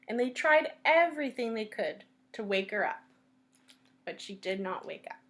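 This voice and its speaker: A woman storyteller reading a children's fairytale aloud, with deliberate phrasing and frequent pauses. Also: sits high, a bit bright. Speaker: storyteller at a library.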